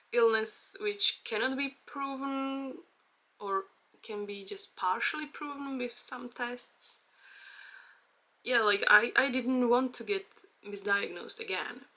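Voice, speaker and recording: A woman's voice: a little high, bright, forceful tone. A young woman from the Czech Republic with a Czech accent, speaking English in a hesitant personal vlog, with many fillers and small laughs. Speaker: vlogger. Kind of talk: casual personal chat.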